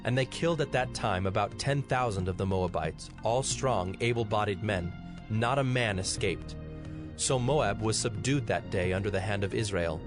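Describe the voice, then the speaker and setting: A man narrating scripture in a solemn, measured storytelling manner. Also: lowish voice. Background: music.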